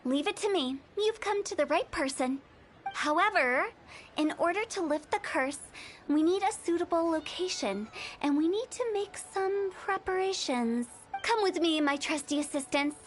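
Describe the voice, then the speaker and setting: An actress playing a breezy, self-assured young female exorcist in a video game, with brisk, theatrical confidence. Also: very high-pitched, lively intonation, a little hiss or hum. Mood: brisk, reassuring. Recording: music behind the voice. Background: game music.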